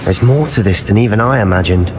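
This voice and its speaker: A man's voice: lowish voice, quick pace, over music, natural voice. A man voicing a determined, reckless teenage schoolboy wizard in a video game, with a British accent and an earnest, conspiratorial, articulate delivery. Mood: eager, focused.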